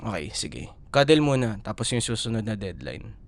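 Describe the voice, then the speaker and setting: A man's voice: fairly low pitch, sing-song, moderate pace, gravelly. A young man with a Filipino accent playing a playful, affectionate but exasperated student boyfriend in an intimate audio roleplay, coaxing and teasing as he talks. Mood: teasing, tired. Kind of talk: ASMR boyfriend roleplay, casual Tagalog banter.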